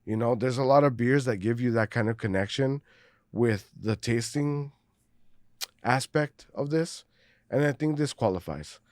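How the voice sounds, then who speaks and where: A man's voice: mid-range voice, darkish tone, no room sound, studio quality. A man co-hosting a casual beer podcast, talking at length, swearing freely and laughing often. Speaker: podcast co-host. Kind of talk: casual chat, beer review.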